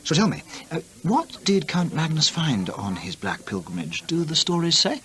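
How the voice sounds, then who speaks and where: An actor playing an inquisitive travel writer and amateur antiquarian, genteel, precise and chatty, in a radio drama. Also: male voice, fairly high pitch, huge pitch swings, normal speed, a bit bright. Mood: eager. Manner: genteel, precise, musing to himself. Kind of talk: radio drama acting and narrated journal.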